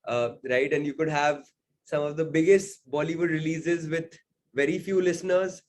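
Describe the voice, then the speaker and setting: A man's voice: on the high side, steady tone, normal speed, a little mellow, slight background noise. A man being interviewed as a singer-songwriter, talking casually and thoughtfully, hedging often with "sort of" and "I think".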